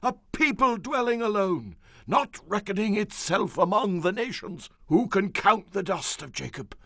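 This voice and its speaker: A high-voiced, slow, declaiming prophet. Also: male voice, expressive, creaky, pushed and bright, no echo, clean studio sound, heavily acted. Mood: solemn, prophetic. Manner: declamatory, drawn-out, emphatic delivery. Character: solemn male prophet proclaiming oracles with fervor. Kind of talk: radio theatre-style Bible dramatization.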